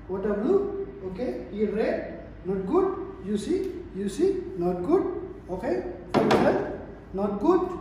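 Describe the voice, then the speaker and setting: A middle-aged man with a Sri Lankan accent giving an emphatic sales demonstration, repeating his phrases as he presents. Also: high-pitched. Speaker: product presenter and distributor. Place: indoor presentation room. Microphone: distant camera microphone. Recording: very echoey room, distant mic, faint noise.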